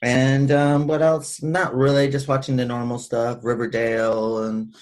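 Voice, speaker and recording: A man's voice: mid-range voice, level tone, measured pace, darkish tone. A man hosting a podcast in a casual, chatty style. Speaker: podcast host. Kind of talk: casual chat.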